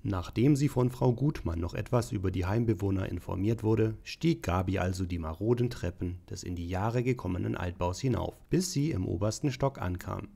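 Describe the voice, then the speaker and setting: A man's voice: low voice, normal speed, gravelly, few pauses, mellow. A man reading scripted narration for an audio drama.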